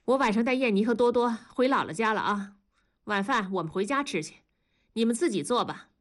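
A woman's voice: a little high, animated, a little fast, voice pushed up, lightly acted. Curt, short-tempered young mom, read by a woman; storybook voice.

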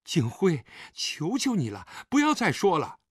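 Casting: anxious, pleading wife , played by a male narrator, trembling voice, raised pitch, dry close sound. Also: huge pitch swings, a little slow, husky, no echo, exaggerated voice. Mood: tearful.

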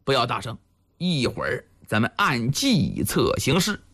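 A man's voice: medium pitch, huge pitch swings, measured pace, fairly bright tone, pitched below the performer's own voice, exaggerated voice. A man voicing a bold, crafty village headman with a commanding, theatrical manner in a storytelling performance. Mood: hushed, scheming. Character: Chao Gai, bold crafty village headman, feigning an angry uncle.

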